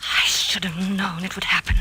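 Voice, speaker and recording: An actress playing a worried, pleading wife in an old-time radio mystery, with dramatic, earnest delivery. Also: female voice, lowish voice, flat delivery, moderate pace, whispered, close to an everyday voice. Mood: haunted narration. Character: worried, pleading wife recalling her husband's strange death.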